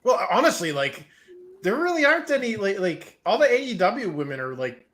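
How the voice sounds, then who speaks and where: A man's voice: sits high, big pitch swings, a little fast, a bit bright. A middle-aged man giving casual, jokey wrestling watch-party commentary, swearing freely.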